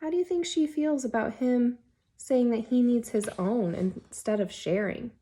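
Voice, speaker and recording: A woman reading a children's picture book aloud expressively, as a teacher who pauses to put questions to young listeners. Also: on the high side, mellow.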